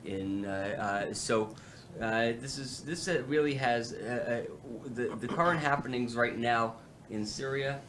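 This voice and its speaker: A man's voice: mid-range voice, measured pace, a bit bright, slight background noise. A man, president of a policy institute, hosting a roundtable and speaking with many fillers and restarts. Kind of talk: halting roundtable remarks.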